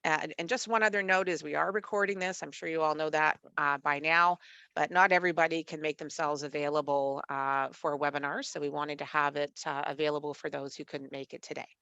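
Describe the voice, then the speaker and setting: A woman with a slightly low, nasal voice, stuffy from a cold, hosts an online training webinar. She reads in a measured, steady way with the odd filler. The sound is dry and close, from a computer mic on a video call. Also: clean tone, dry recording, dull audio. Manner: measured, read-aloud delivery with occasional fillers. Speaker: webinar host, executive director of a literacy network. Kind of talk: scripted webinar presentation.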